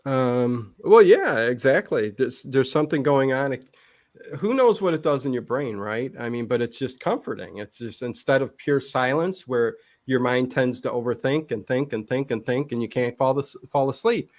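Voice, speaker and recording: A man chatting casually as a livestream guest, easygoing and self-deprecating, with halting phrasing. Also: medium pitch, animated. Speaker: guest who runs an old-time radio channel and trivia nights.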